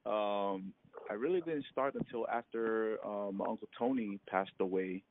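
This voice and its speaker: A man from Hawaii and American Samoa, recalling his past in a halting, casual phone interview full of fillers. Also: lowish voice. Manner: halting, many fillers and restarts, laughs often. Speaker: interviewee, former ballplayer. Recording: tinny phone line with light noise.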